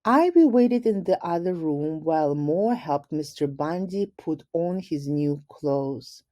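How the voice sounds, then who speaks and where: A woman reading a picture book aloud like a teacher, in a deliberate, explanatory way. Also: low voice, expressive, dark tone, dry recording. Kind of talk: storybook read-aloud with brief explanations.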